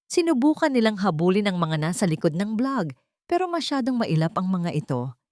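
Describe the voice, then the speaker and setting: A woman's voice: mid-range voice, sing-song, moderate pace, a little mellow, dry recording, studio quality. A woman reading a scripted romantic drama as a storyteller, with dramatic narration.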